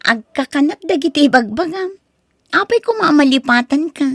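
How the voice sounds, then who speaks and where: A woman's voice: sits high, lively intonation, moderate pace, no echo, clean studio sound. A woman playing a meek, dutiful young college student and daughter in an Ilocano drama. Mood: friendly, coy. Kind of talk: Ilocano radio-style drama acting.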